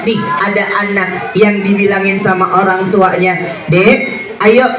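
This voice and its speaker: A playful man storytelling for schoolchildren, his voice set fairly high for a man and calling out questions to the crowd. He is heard from a distance in an echoey hall. Loud chatter and noise from the children fill the background. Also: completely flat delivery, moderate pace, muffled recording.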